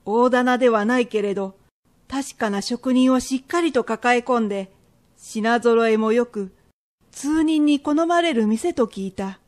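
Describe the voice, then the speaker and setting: A woman plays a proud Edo shopkeeper's wife in a radio drama. She has a high voice and a firm, matronly, old-fashioned way of speaking, stern to the core. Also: measured pace, a little mellow, natural voice. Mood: pondering. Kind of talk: period radio drama acting.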